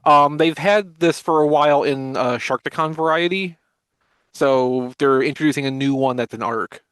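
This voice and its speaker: Guy with kinda high voice explaining stuff calmly, dry sound. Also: normal speed, clear, resonant tone, no room sound. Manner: explanatory, matter-of-fact. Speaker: podcast co-host. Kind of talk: casual roundtable chat.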